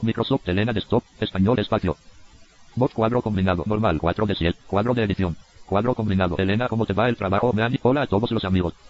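A low male text-to-speech voice rattles off menu labels at high speed. It sounds mechanical and clipped, with words often chopped short, and the audio is dull. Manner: flat, mechanical, often cut off mid-word. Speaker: screen reader. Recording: dull, lo-fi computer audio capture.

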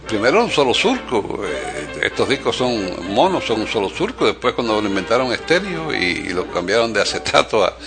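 An older man in casual conversation, reminiscing in a halting way and often repeating his words as he goes. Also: mid-range voice, big pitch swings, music in the background. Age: 60s or older.

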